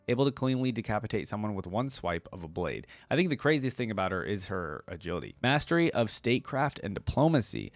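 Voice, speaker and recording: A man's voice: medium pitch, dry recording. A man reading text aloud as a reaction video host, dropping in casual asides between passages.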